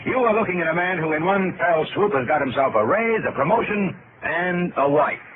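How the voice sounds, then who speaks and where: A man's voice: high voice, lively intonation, brisk. An actor playing a cocky, self-satisfied artificial double of a meek man in a 1950s radio drama. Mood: smug. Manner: brash, teasing, self-satisfied. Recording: scratchy, tinny old radio recording.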